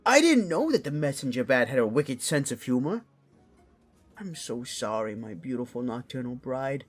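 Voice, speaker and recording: A man's voice: medium pitch, very animated, faint music behind. A man voicing a tipsy, florid, lovestruck raccoon lord in an audio drama, theatrical and rambling. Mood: pleading, adoring. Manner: slow, theatrical, rambling, sing-song.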